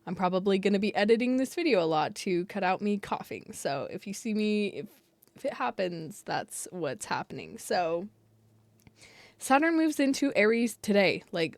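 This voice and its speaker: A young woman with a stuffy, nasal voice from a cold chats on, rambling a little and breaking into small laughs. Her pitch sits mid-range, and the recording is close and dry with no room sound. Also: dry recording. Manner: chatty, rambling, laughs often. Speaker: astrologer and channel host. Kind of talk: casual talk to camera.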